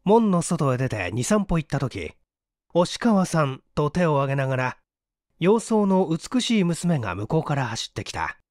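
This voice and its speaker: A man's voice: a little high, big pitch swings, normal speed, darkish tone, dry recording, studio quality. A man narrating a scripted story dramatically as an audiobook reader.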